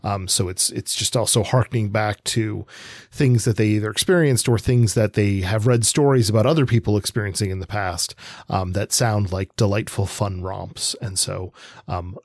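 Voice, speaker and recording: A man co-hosting a literary podcast, chatting in a halting way as he searches for words and hedges. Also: on the low side, darkish tone, no room sound, studio quality. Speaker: podcast co-host. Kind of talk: literary discussion, conversational.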